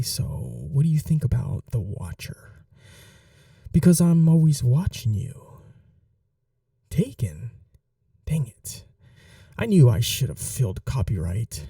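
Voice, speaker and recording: A man playing an eager, awkward young supervillain in an ASMR roleplay, rambling to the listener with sheepish boasts and breathy asides. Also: mid-range voice, warm tone, dry recording, studio quality, distant mic, heavily acted. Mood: eager, hopeful. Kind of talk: ASMR roleplay audio drama, addressing the listener.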